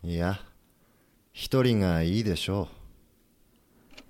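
Tired gloomy leader, low quiet voice, subdued period radio play. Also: male voice. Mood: dejected, resigned. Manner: quiet, slow, dejected. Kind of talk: subdued period audio drama acting.

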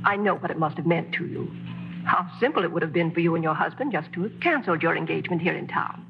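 An actress playing a kindly, dignified headmistress in a 1940s radio drama. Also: female voice, fairly low pitch, vocal fry, faint music behind. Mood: gracious. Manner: gracious, measured. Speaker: school head. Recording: dull old radio broadcast recording.

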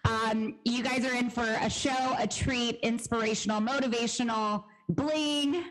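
A woman hosts her podcast in an upbeat, gushing chat. Soft music plays faintly behind her voice. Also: on the high side, fairly even intonation, measured pace, poor audio. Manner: bubbly and gushing. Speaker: podcast host.

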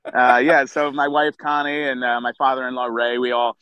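A middle-aged man, a baseball writer guesting on a podcast, chatting enthusiastically outdoors with a hoarse voice and many restarts. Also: medium pitch, little pitch movement, moderate pace, no room sound, lo-fi sound. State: hoarse from screaming at a concert. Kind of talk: casual podcast chat. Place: outdoors by a river.

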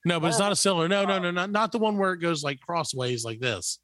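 A man's voice: a little high, fast talker, fairly bright tone, no echo, clean studio sound. A middle-aged man hosting a show with casual comedic banter, rambling and mock-boastful. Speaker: show host.

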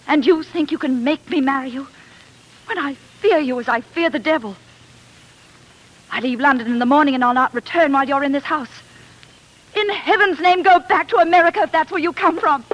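An actress in a 1940s radio drama playing a proud, fearful eighteenth-century London fiancee, formal and melodramatic. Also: female voice, high voice, lightly acted. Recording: dull 1940s radio recording.

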